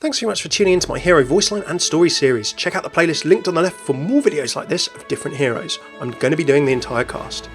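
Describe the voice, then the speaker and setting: A man's voice: fairly high pitch, fairly bright tone. A man narrating a gaming commentary voice-over. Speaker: video creator, narrator. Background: faint music.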